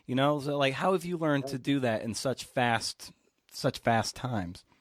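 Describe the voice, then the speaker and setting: A man's voice: mid-range voice, a little slow. A man hosting a podcast, putting questions to his guest in a conversational way. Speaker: podcast host, interviewer. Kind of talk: conversational interviewing.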